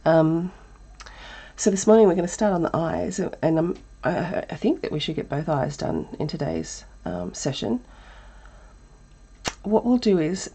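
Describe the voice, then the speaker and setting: A woman with an Australian accent, teaching a relaxed live drawing lesson while thinking aloud, her voice croaky and breathy from a chest cold. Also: deep voice, a little slow. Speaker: art teacher.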